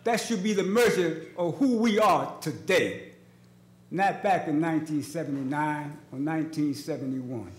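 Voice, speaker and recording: An older man speaks as a community organizer at a public hearing. His voice sits a little high for a man, and he builds his impassioned case in short, emphatic, repeated phrases. The chamber rings with echo around the table microphone. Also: moderate pace. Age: 60s. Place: large indoor legislative hearing room, seated at a table. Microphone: gooseneck table microphone.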